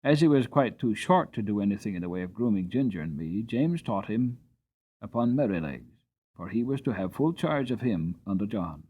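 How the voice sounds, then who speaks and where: A man giving an expressive, dramatic reading of a classic storybook as narrator. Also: on the low side, moderate pace, breathy edge. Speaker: audiobook reader.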